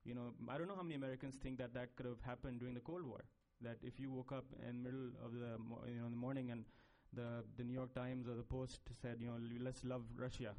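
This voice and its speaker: A man's voice: mid-range voice, level tone, fast, few pauses, dark tone, low-quality recording. A man on a conference panel, talking with many fillers and restarts about making a film.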